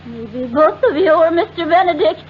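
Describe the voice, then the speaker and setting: High-pitched, desperate, overwrought wealthy lady. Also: animated, moderate pace. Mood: deranged, frightened. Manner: overwrought, pleading, repeating herself. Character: desperate wealthy married woman, terrified and fragile. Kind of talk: 1940s radio melodrama acting. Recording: muffled old radio recording with hiss.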